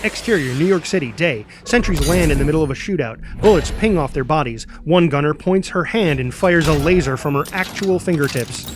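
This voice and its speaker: A man's voice: a little high, animated, a little fast, few pauses, music in the background. A man reading scripted screenplay narration and stage directions dramatically, voicing the sound effects himself.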